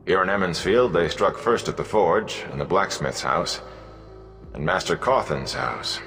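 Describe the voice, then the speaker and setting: A man's voice: sits low, measured pace, a bit bright, long reverb tail, faint music behind. A man voicing a stern warrior bodyguard who speaks curtly and matter-of-factly. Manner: curt, matter-of-fact.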